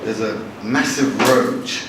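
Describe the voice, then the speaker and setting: Wry man talking in an echoey hall. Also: on the low side. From born in Bangladesh, raised in London. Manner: wry, chatty, halting with frequent restarts. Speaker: novelist giving a talk. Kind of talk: live talk to an audience. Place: large hall with audience. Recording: echoey hall from far off, noisy.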